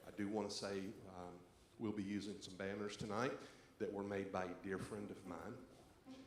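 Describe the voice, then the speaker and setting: A man's voice: fairly low pitch, fairly even intonation, moderate pace. A man speaking as a pastor, introducing a church service and leading a scripture reading. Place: church sanctuary. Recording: echoey church, faint background noise.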